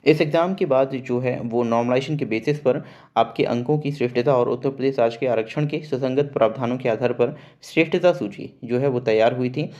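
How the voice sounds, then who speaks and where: A man's voice: mid-range voice, a little mellow. Man, explanatory announcer, dull recording. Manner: formal Hindi mixed with English words, repeats points in simpler terms. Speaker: presenter of exam result updates for job aspirants. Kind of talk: explanatory announcement, reading out a notice with commentary.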